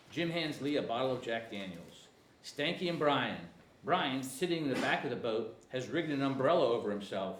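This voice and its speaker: A man narrating screenplay directions at a table read, sometimes stumbling over the text. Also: mid-range voice. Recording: echoey room, faint noise.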